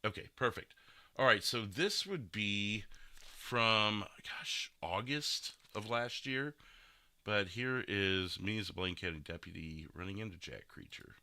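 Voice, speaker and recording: A man's voice: on the low side, a little slow, bright, forceful tone. A man hosting a casual podcast in a rambling, self-deprecating and joking manner. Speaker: podcast host and game streamer.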